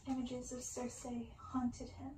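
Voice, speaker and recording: A woman reading a story in ASMR style, with a slow, breathy, intimate delivery. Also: fairly high pitch, monotone, dark tone, echoey room, a little hiss or hum.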